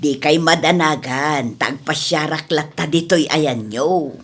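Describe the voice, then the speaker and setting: A woman playing a gentle, reassuring middle-aged mother with an Ilocano accent in a radio drama. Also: deep voice, slow, husky, exaggerated voice.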